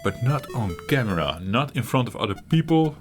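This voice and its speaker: A middle-aged man hosting his retro gaming channel, talking while he plays in a halting, reflective way, searching for words and laughing at himself. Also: medium pitch, very animated, music in the background. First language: Dutch. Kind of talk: reflective gameplay commentary.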